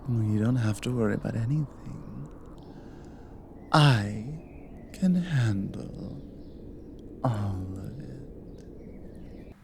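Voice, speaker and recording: Exiled cougar woman for ASMR drama, played by a woman: low, unhurried, hushed, guarded, lonely. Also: animated, gravelly, slight background noise, heavily acted. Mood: tender, assured. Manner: slow, deliberate, low-voiced. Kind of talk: intimate ASMR roleplay acting.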